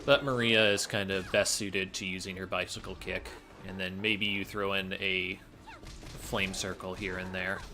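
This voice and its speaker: A man's voice: low-pitched, little pitch movement, moderate pace, noisy background. A man giving explanatory commentary on his video game speedrun. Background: game music.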